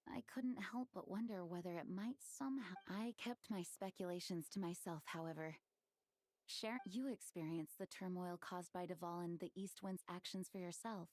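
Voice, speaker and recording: Actress as a composed, formal knight officer, dry recording. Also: female voice, fairly low pitch, darkish tone, dry recording, lightly acted. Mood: thoughtful, earnest. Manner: formal, measured, earnest. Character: composed, dutiful female knight officer recounting lore. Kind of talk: scripted fantasy game dialogue.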